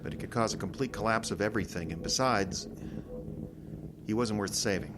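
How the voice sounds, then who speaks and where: An actor playing an urgent, guilt-ridden male time traveler in an audio drama, speaking in a halting, emphatic, earnest way. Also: fairly low pitch, noisy background, lightly acted. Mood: resolved, subdued.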